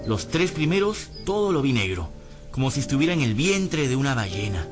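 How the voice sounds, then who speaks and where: A man's voice: medium pitch, sing-song, brisk, over music, close to an everyday voice. An actor playing a battered, hapless young servant rogue who tells his own story wryly in a radio drama. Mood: rueful, dazed. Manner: wry storytelling, whimpering and stammering when cornered. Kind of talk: dramatised first-person narration in radio drama.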